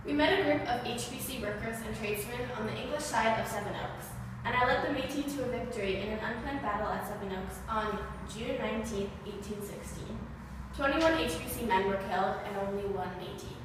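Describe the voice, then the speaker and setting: A woman's voice: on the high side, brisk, close to an everyday voice. A woman reading as a historical man in a hall. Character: grown man, a historical Canadian figure. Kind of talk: reading scripted answers in role. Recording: echoey hall, far from the mic, with background noise.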